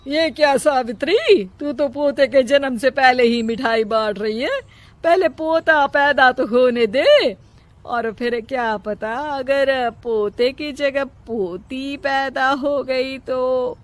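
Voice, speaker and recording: A woman's voice: high-pitched, takes it slowly, dry recording. A woman voicing a skeptical older neighbour woman with a mocking, doubting manner.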